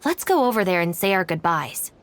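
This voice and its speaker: A woman's voice: on the low side, very animated, fairly quick, slight background noise. A woman voicing a composed, practical and blunt young woman in a video game, speaking articulately and matter-of-factly. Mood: frank, reflective. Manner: matter-of-fact, articulate, cool-headed.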